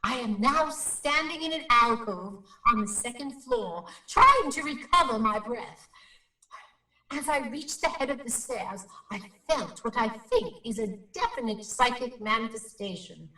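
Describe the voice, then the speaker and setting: A high, bright female voice swoops through a roomy recording, a self-important psychic investigator. She delivers formal, precise reports with grave radio-drama flair. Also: expressive, a little slow. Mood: breathless, grave. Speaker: actor playing a paranormal investigator. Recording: roomy, slightly distant microphone.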